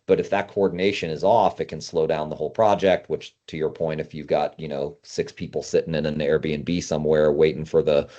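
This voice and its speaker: Low-pitched man hosting, slightly muffled audio. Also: level tone, fairly quick, few pauses, dark tone. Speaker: podcast host. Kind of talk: casual conversational discussion. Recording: dull, lo-fi audio.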